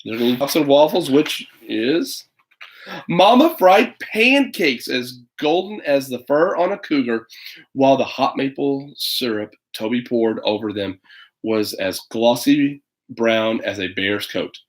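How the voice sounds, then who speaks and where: A man reading a children's picture book aloud in an animated, dramatic way, with chatty asides to a young listener. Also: medium pitch, a little slow, low-quality recording. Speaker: storybook reader.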